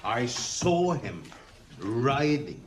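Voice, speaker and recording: An awed, boisterous fantasy warrior tells his tale with great emphasis. He speaks extremely slowly, in a mid-pitched voice that swings animatedly. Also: very animated. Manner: drawn-out, emphatic phrases.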